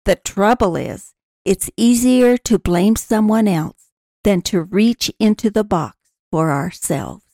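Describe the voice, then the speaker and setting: A woman's voice: medium pitch, slow pace, warm tone, no room sound, studio quality. A woman reading a scripted personal essay aloud as a podcast narrator. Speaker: podcast announcer and reader.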